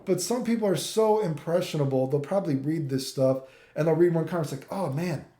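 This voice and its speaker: A man's voice: a little high, a little fast, mellow. A middle-aged man from Canada giving an off-the-cuff, opinionated rant, exasperated and emphatic, with repetition, rhetorical questions and swearing. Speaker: gaming commentator. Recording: echoey, roomy sound.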